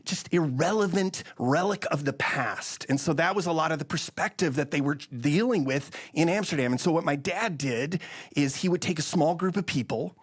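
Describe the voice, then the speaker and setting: A man's voice: on the high side, moderate pace, breathy edge. A man from the Netherlands speaks as a guest in an interview. He moves between conversational storytelling and preaching, with an earnest, emphatic manner. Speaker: interview guest, missions organization leader.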